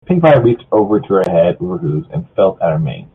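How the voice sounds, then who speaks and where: A man with a husky voice narrates a story informally, stumbling over lines and rereading them. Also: low-pitched, big pitch swings, a little fast. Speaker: narrator of a group fan fiction reading. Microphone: online voice chat. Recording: muffled, phone-like voice chat audio.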